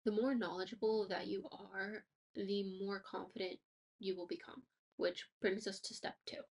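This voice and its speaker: A woman's voice: mid-range voice, fairly even intonation, normal speed, a little mellow, a little hiss or hum. A woman hosting a personal finance podcast, sounding a bit stuffy and nasal from allergies, upbeat and motivational with casual fillers. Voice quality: slightly nasal. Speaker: podcast host. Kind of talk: scripted podcast monologue.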